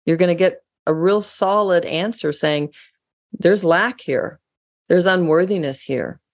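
A woman from the United States, a guest in an interview, telling stories in an earnest, animated way. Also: fairly low pitch, measured pace. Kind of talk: casual interview talk.

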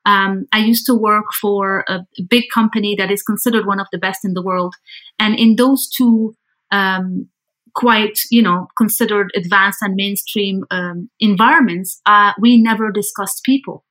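A woman's voice: mid-range voice, fairly even intonation, measured pace, a bit bright. A woman from Lebanon speaking as an architect guest in an interview, thoughtful and conversational, feeling out her phrasing as she goes.

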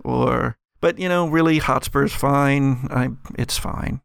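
A man's voice: medium pitch, takes it slowly, gravelly, clean tone, no room sound, studio quality. A man playing an awkward, self-conscious Navy officer, hesitant and resigned, in an improvised audio drama. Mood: sheepish, resigned.

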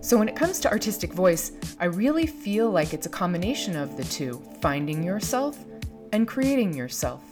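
A woman's voice: lowish voice, sing-song, normal speed, music in the background. A woman in her fifties hosting a podcast, speaking reflectively and reading quotations aloud from a script. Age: 50s. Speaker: podcast host.

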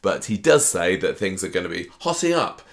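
Low-voiced man with a sore throat. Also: very animated. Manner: mocking impressions of characters, halting restarts. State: sore throat, losing his voice. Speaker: soap opera podcast host. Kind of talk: casual recap chat.

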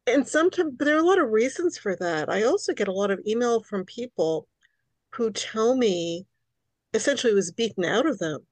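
Woman in her 60s, Hungarian first language, New York raised; animated podcast guest, dry sound. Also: on the high side, brisk, dry recording. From New York City, Queens. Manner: animated, repeats words when starting thoughts. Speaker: guest author. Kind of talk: conversational podcast interview.

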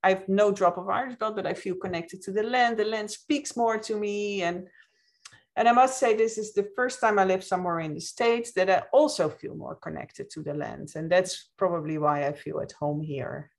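A woman's voice: mid-range voice, moderate pace, creaky. A woman from the Netherlands with a Dutch accent, speaking reflectively and explaining things as a guest in a conversational interview. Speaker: podcast guest, witchcraft author.

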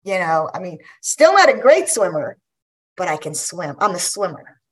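A woman's voice: fairly low pitch, big pitch swings, moderate pace, husky, rough recording. A woman in her fifties from Guam, chatting casually as an interview guest, laughing often and restarting her sentences. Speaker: guest athlete.

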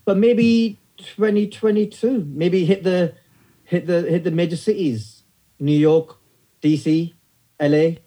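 A comedian in his thirties with a northern English accent, telling stories in a relaxed interview with wry, self-deprecating humor. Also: high-pitched, unhurried, poor audio. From north of England. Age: 30s. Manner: wry storyteller, self-deprecating, many fillers. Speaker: stand-up comedian guest. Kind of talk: casual interview chat.

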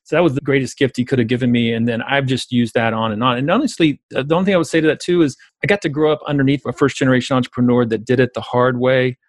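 Fast-talking man with a Southern US accent. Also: medium pitch, dry recording. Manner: emphatic, repeats phrases for stress. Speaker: business coach, speaker and author being interviewed. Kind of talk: conversational interview, storytelling.

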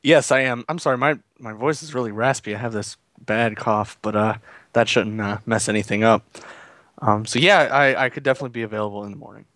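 A man playing a raspy, coughing piano player. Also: medium pitch, a little fast, a bit bright, no echo, studio quality, lightly acted. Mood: earnest. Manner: hesitant, filler-heavy. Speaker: prank caller.

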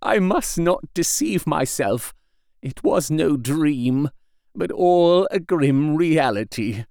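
A man's voice: fairly high pitch, expressive, slow, gravelly, a little mellow, no room sound, clean studio sound, exaggerated voice. A man doing Renfield, a breathless dying patient. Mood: haunted. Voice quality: strained, breathless and pleading. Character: dying male asylum patient, weak, desperate, gasping. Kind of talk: audiobook character reading.

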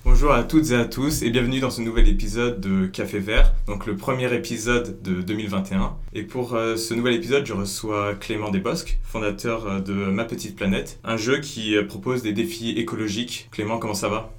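A man hosting a podcast, interviewing a guest in a relaxed, easygoing way with frequent hesitations. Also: fairly low pitch, brisk, hardly any pauses, slight background noise. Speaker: podcast host. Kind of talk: casual interview.

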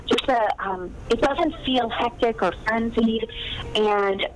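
A woman's voice: a little high, takes it slowly, a little hiss or hum, low-quality recording. A woman novelist chatting as a podcast guest, enthusiastic and talkative, with frequent ums and easy laughter. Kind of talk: casual podcast interview chat.

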